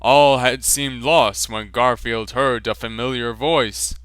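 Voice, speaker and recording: A man narrating an amateur fan fiction reading in a slow, amused, deadpan way. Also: mid-range voice, no room sound, clean studio sound.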